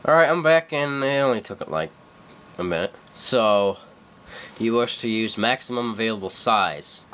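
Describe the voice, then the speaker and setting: A man's voice: on the low side, animated, moderate pace, fairly bright tone. Stuffy-nosed young man rambling through a tutorial. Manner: rambling, self-correcting, full of fillers. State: stuffy from a cold. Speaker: tutorial maker. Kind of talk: casual screen-recorded tutorial. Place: dim room at a computer.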